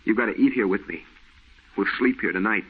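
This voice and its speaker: A man's voice: on the low side, brisk, breathy edge. An actor playing a haunted, secretive, fearful man, brooding and melodramatic in old radio horror style. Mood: guarded, brooding. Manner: brooding, tense, evasive, melodramatic. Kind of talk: 1940s radio horror drama acting. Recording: muffled old-time radio recording.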